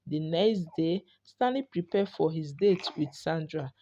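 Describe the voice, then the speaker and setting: A woman's voice: sits low, lively intonation, a little slow, mellow, muffled recording. A woman telling a folktale as scripted narration, in the role of storyteller.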